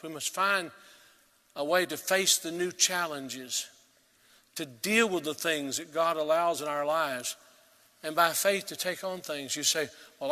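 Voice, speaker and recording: A man preaching a sermon as pastor, earnest and emphatic, swelling to loud peaks and dropping to quiet. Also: on the high side, long reverb tail.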